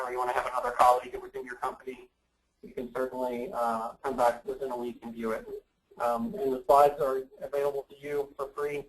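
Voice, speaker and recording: A man's voice: mid-range voice, recorded from a distance, poor audio. A man hosting a webinar, getting over a cold with his voice giving out, speaking in a plain, conversational way. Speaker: webinar host. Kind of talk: webinar introduction and announcements.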